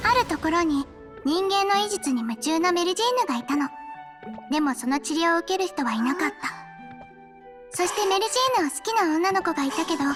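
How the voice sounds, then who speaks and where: A woman voicing a gentle little fairy-like nurse in an anime game, speaking in a soft, composed, girlish way. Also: very high-pitched. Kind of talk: anime game dialogue and fairy-tale storytelling. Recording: music behind the voice.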